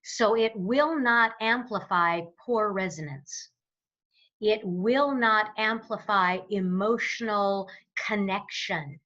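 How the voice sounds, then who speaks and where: Bright-voiced woman coaching on voice, slightly dull recording. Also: medium pitch. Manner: demonstrative, explanatory, deliberately varies resonance. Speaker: voice coach guest. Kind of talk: instructional interview explanation.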